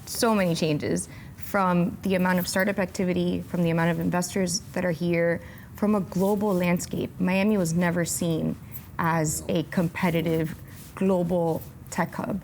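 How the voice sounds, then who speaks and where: A woman answering interview questions as an executive guest, upbeat, with measured phrasing. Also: fairly low pitch, steady tone, darkish tone, a little hiss or hum. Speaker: tech company executive and guest.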